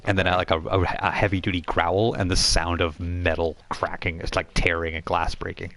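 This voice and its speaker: A man narrating a tabletop role-playing game as game master, describing scenes in a halting, improvised way. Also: deep voice, level tone, fairly bright tone, a little hiss or hum.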